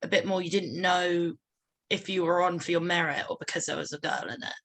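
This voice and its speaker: Bright-voiced, breezy woman chatting informally. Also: lowish voice, fairly even intonation, a little fast. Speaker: interview guest, band singer. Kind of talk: casual chat.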